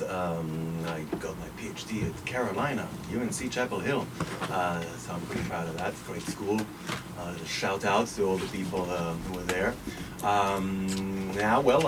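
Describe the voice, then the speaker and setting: A man, an economics professor with a cold, co-hosting a college radio show in chatty, digressive, self-deprecating talk. English is not his first language, and his voice sounds nasal. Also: mid-range voice. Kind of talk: casual radio chat. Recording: distant mic with noisy background.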